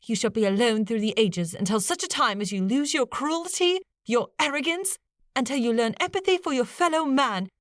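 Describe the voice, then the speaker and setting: A woman's voice: fairly high pitch, animated, moderate pace, dry recording, clean studio sound, voice pushed up, natural voice. A woman voicing a furious, righteous colonial woman in an audiobook, commanding and emphatic as she pronounces judgment. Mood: indignant, angry.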